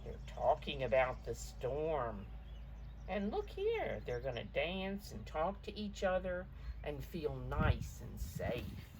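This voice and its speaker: Low-pitched woman reading expressively to preschoolers. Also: slow, noisy background. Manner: expressive, explains pictures in simple words. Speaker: storybook reader for preschoolers. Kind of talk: read-aloud picture book with simple asides to young children.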